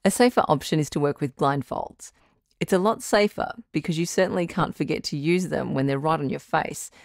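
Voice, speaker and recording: Woman acting as radio advice doctor, low female voice, frank, reassuring, conversational, dry close studio sound. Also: brisk, creaky, no echo. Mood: reassuring, practical. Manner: encouraging, frank, matter-of-fact. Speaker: radio advice show host.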